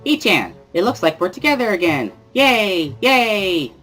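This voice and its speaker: A man voicing a bubbly, giggly teenage schoolgirl with an excitable, lilting sing-song delivery in a visual novel reading. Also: high-pitched, a little slow, soft background music, voice pushed up, heavily acted. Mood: excited. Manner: excitable, playful.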